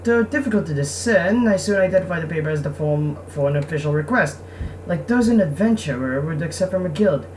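A woman giving casual game commentary and reading game text aloud, speaking haltingly and repeating words. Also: low-pitched, animated, a little mellow. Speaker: game streamer. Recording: noisy, distant microphone.

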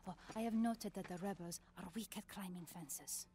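A woman's voice: mid-range voice, moderate pace, natural voice. A woman playing a brainy, awkward middle-aged scientist in a video game, speaking in clipped, formal phrases that drop small words. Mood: matter-of-fact. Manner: clipped, formal, article-dropping speech. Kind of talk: video game cutscene acting. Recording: loud music underneath.

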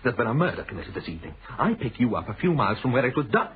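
A man's voice: medium pitch, sing-song, rapid-fire, a little hiss or hum, natural voice. An actor playing an uneasy, evasive, educated motorist with a British accent in a radio thriller, clipped and defensive in his speech. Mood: irritated. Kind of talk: British radio drama acting. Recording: muffled band-limited old radio broadcast.

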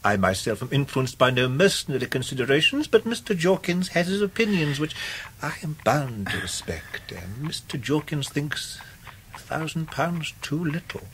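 An actor playing a fussy, genteel, evasive English lawyer with pompous manners in a radio drama. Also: male voice, on the high side, sing-song, normal speed, fairly bright tone, slight background noise, rough recording. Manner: smooth, pompous, hesitant.

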